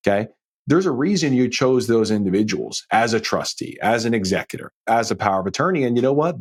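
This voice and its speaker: A man's voice: medium pitch, slightly rough. A man hosting a financial advice podcast, talking informally and directly to the listener as he explains his points. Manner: informal, direct address with frequent checking tags like "okay?" and "right?". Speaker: financial advisor and podcast host. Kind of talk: conversational advice podcast monologue.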